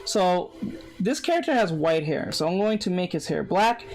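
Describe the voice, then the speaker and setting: A man streaming a casual drawing lesson, with a soft, soothing voice, rambling and correcting himself as he chats with viewers. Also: high voice, lively intonation, normal speed, a little hiss or hum. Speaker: art streamer teaching drawing. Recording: background music under voice. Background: guitar music.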